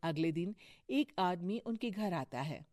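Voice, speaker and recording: Woman narrator for an animated moral tale, unhurried, dry recording. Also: on the low side, no room sound. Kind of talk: storybook cartoon narration.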